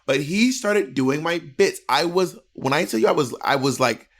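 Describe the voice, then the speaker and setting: Higher-pitched, very animated American man. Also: moderate pace. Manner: emphatic, profane. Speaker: podcast co-host. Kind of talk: casual storytelling and review chat. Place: indoor room at home.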